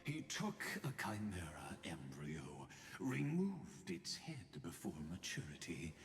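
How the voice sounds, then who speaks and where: A man voicing a cold, scheming sage in a video game, speaking in a slow, theatrical, menacing manner. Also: low-pitched, very animated, heavily acted. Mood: grave, ominous. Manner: slow, deliberate, theatrical delivery. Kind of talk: dramatic video game dialogue. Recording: long reverb, music underneath.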